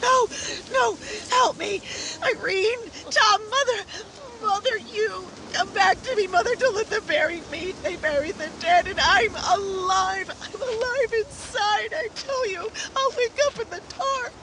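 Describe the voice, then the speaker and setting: A woman's voice: extremely high pitch, unhurried, crowd noise, low-quality recording. An actress playing a terrified, paralysed girl trapped alive in a coffin, pleading tearfully in radio horror style. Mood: tearful terror.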